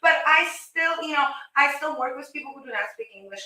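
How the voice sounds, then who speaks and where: Russian-accented woman host, bright and echoey. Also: high voice, lively intonation, brisk, distant mic. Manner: chatty, upbeat selling, addresses viewers directly. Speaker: live shopping host. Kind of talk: live stream product pitch. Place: home, near kitchen.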